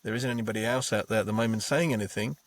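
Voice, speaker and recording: A man's voice: on the low side, rapid-fire, no room sound. A man, earnestly telling how he became a trade unionist, often repeating words as he starts a thought. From Bangladesh. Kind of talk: reflective interview answers. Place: podcast studio.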